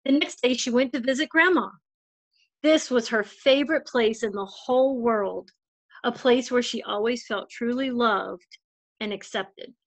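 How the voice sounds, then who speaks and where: A woman's voice: fairly high pitch, measured pace, dry recording. A woman narrating a children's storybook as a volunteer storytime reader.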